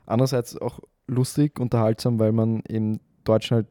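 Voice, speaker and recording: Dude on a podcast, low mellow voice, dry close sound, just rambling through a recap. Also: male voice, little pitch movement, moderate pace, dry recording. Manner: chatty, storytelling recaps with filler. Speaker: podcast co-host. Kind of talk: casual chat.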